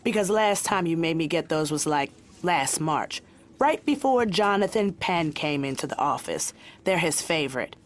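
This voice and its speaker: An actress in her twenties playing a sardonic, quick-witted young office worker in a scripted comedy, slangy and sarcastic. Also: low voice, expressive. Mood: dry, suspicious. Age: 20s. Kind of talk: scripted workplace comedy acting.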